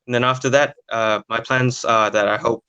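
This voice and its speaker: A man's voice: mid-range voice, steady tone, moderate pace, pushed and bright, clean tone, dry recording, highs cut off. A nineteen-year-old man answering interview questions casually and hesitantly, with lots of filler sounds. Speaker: podcast guest, young footballer.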